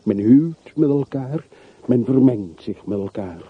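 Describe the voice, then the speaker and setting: A man's voice: medium pitch, expressive, measured pace, husky. An actor playing a bitter, world-weary middle-aged man in a radio play, speaking tersely and broodingly on an old recording. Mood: bitter, detached. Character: bitter, world-weary man revisiting his hometown's dead. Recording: muffled, phone-like, noisy old radio recording.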